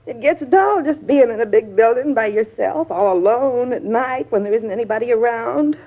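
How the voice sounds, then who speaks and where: A shaken, talkative cleaning woman in a vintage radio play. Her voice is fairly high and crackles with fry. She rambles on in folksy, confiding fashion through a slightly dull old recording. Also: normal speed. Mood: confiding, nervous. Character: chatty, shaken night cleaning woman, gossipy and nervous. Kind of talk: old-time radio drama acting.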